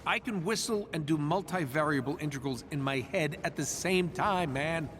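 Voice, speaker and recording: An actor playing a cocky, wisecracking superhero inventor in a video game, with an American accent and a glib, casual delivery. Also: male voice, on the high side, normal speed, noisy background, natural voice. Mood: businesslike, wry.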